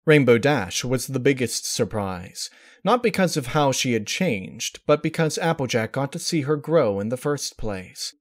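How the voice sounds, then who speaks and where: A man's voice: medium pitch, lively intonation, unhurried. A man reading scripted fan fiction as its narrator.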